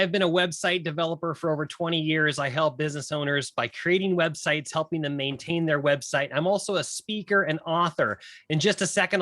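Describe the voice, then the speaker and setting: A man who builds websites, giving an energetic, rehearsed promotional pitch. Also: no room sound. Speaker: website developer.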